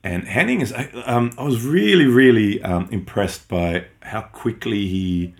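A man's voice: on the low side, lively intonation, measured pace. A middle-aged man who grew up in Austria with German as his first language, co-hosting a podcast in casual, rambling chat full of ums and restarts. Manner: rambling, many ums and self-corrections. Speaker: podcast co-host.

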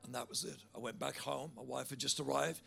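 A preacher man with a big, gravelly voice carries his sermon with a bright, forceful tone. He tells his story with energy, then urges his listeners on with growing fervour. Soft music plays beneath him. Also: medium pitch, a little fast. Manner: animated storyteller, building to loud fervent altar call.

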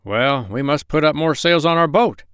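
Man doing an excitable high-pitched gentleman doctor. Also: normal speed, smooth, no room sound, clean studio sound. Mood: urgent. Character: excitable, kindly gentleman doctor, quick to wonder.

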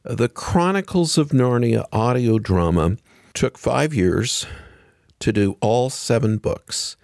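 A man's voice: mid-range voice, animated, slow, gravelly, darkish tone, no room sound, studio quality. A man hosting an interview program, asking questions in a conversational style. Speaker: podcast and radio host, interviewer.

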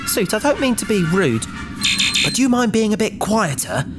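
Woman as an earnest crew member, very expressive. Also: mid-range voice, normal speed, loud music behind the voice, natural voice. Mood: politely anxious. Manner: emotional, hesitant, polite. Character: earnest, loyal, polite and anxious spaceship crew member. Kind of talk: sci-fi audio drama acting.

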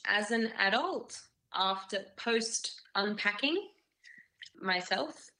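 Slow, thoughtful woman in an interview. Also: mid-range voice, lively intonation, pushed and bright, poor audio. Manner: thoughtful, hesitant, searching for words. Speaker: musician interviewee. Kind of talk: reflective interview talk.

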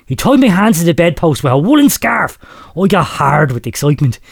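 A man's voice: fairly high pitch, fairly quick, vocal fry, breathy edge, dry recording, clean studio sound. A man hosting a comedy podcast, reading out a listener letter with mock drama and frequent laughter. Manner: laughs often while reading, lively mock storytelling. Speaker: podcast host.

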